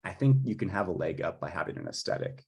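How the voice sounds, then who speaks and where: Husky low-voiced man in casual chat. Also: huge pitch swings, fast talker, a little mellow. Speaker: music composer for audio fiction. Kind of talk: informal work chat.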